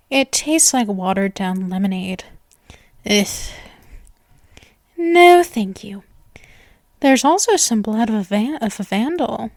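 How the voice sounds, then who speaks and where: A woman's voice: fairly high pitch, no room sound, studio quality. A woman voicing an obsessive, doting, gleefully morbid young vampire in an intimate, theatrical ASMR roleplay monologue. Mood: musing, picky. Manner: gushing, theatrical, breathy asides, lovestruck.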